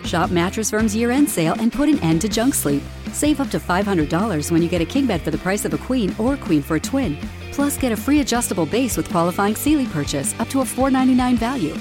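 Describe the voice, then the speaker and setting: A woman's voice: mid-range voice, expressive, fast talker. Woman reading an ad over guitar. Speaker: advertisement reader. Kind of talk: read advertisement.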